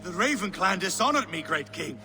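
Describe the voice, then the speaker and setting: A man's voice: high voice, very animated, a little fast. An actor playing a scheming, aggrieved Viking in a game, pleading his case in a declamatory way. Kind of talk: dramatic scripted game acting. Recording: echoey hall with music underneath.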